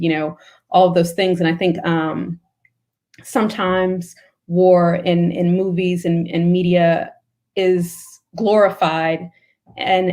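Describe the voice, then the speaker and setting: Low, flat-voiced woman author. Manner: hesitant, many fillers, laughs easily. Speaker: author, panel guest. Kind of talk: panel discussion over video call.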